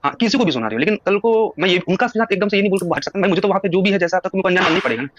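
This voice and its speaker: A man's voice: sits high, lively intonation, very fast, a little hiss or hum. A man talking casually and insistently at length on a recorded phone call, trying to persuade the other person. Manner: insistent, long-winded, persuasive.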